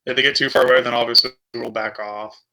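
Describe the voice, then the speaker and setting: A man's voice: medium pitch, steady tone, quick pace, sharp tone. A man playing a tabletop role-playing game, talking casually with a teasing, needling manner. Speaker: player, gunner.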